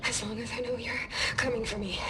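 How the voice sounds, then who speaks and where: An actress playing a fragile young female captive in a video game, desperate yet enduring, speaking in a halting, whispery voice. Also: fairly high pitch, little pitch movement, heavy background noise, natural voice. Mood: frightened, strained. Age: young adult. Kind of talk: dramatic video game cutscene acting.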